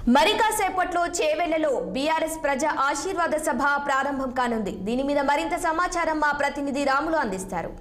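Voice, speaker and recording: High-pitched woman news anchor, echoey sound. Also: expressive, normal speed, hardly any pauses, fairly bright tone. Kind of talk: scripted news introduction. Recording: roomy echo on the voice.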